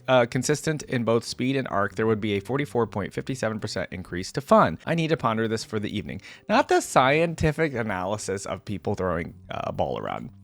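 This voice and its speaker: A man speaks as the host of a casual reaction video, at a middling pitch. He moves between reading text aloud and offering his own chatty, conversational commentary.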